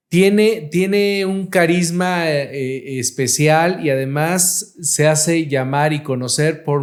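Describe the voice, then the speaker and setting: A man hosts a casual podcast interview. His voice sits fairly high for a man, and he introduces his guests with lavish praise and plenty of fillers. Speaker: podcast host, realtor.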